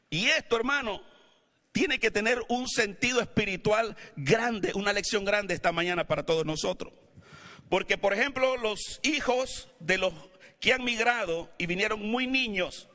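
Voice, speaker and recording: Man, Latin American Spanish accent, pastor preaching, high voice, reverberant church hall. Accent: Latin American Spanish, not Mexican. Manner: preaching, rising to shouts with congregation calls. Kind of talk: sermon. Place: church sanctuary.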